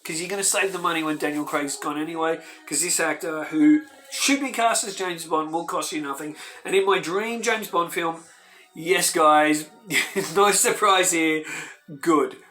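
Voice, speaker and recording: Excitable man gushing as a fan host. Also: fairly high pitch, unhurried, faint music behind. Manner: excitable, emphatic, fan enthusiasm. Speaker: host of a fan video channel. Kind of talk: enthusiastic casual talk to camera.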